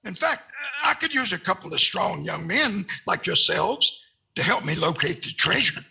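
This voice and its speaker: A grizzled old prospector, high and piercingly sharp, with a heavy rasp. He stammers folksily in old radio comedy fashion. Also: sing-song, normal speed, heavily acted. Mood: eager. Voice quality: raspy, creaky. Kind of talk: 1940s radio comedy acting.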